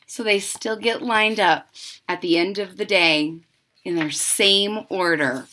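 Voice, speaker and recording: A middle-aged woman reading a picture book aloud to children, dramatic and deliberate, with simple explanatory asides. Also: medium pitch, sharp tone, slight background noise. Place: indoors, small home room.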